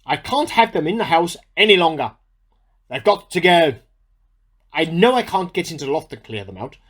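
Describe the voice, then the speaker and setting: A man's voice: on the high side, sing-song, moderate pace, a bit bright. A man playing an agitated, paranoid amateur scientist in a radio play diary, with melodramatic delivery. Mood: weary, resolved. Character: paranoid male amateur scientist at war with hamsters.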